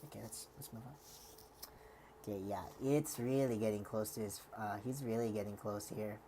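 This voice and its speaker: Streamer, woman, very deep register; slightly worn voice, loose and filler-heavy delivery. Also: fairly even intonation, moderate pace, background noise. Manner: rambling and hesitant, heavy on fillers and restarts. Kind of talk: live-stream reaction commentary.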